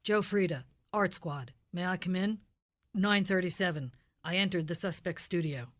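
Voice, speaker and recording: Police radio spoof: hard-boiled detective narrator played by a woman, slightly low register, flat deadpan, clipped and businesslike. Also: moderate pace, natural voice. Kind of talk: parody of 1950s police radio drama.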